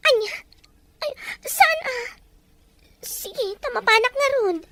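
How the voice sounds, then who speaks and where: A woman's voice: extremely high pitch, expressive, slow, pushed and bright, slight background noise, exaggerated voice. A woman playing a grasping, besotted wife in an audio drama, with a whiny, insistent delivery. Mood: pleading, besotted. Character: scheming, grasping wife, besotted with her lover. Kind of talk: Ilocano audio drama acting.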